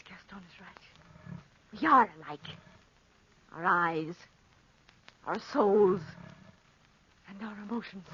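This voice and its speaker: A woman's voice: lowish voice, fairly bright tone, a little hiss or hum. An actress playing a frightened, obsessive dying woman in a 1940s radio drama, with breathless, melodramatic intensity. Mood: tense, desperate. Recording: dull, muffled 1940s radio recording.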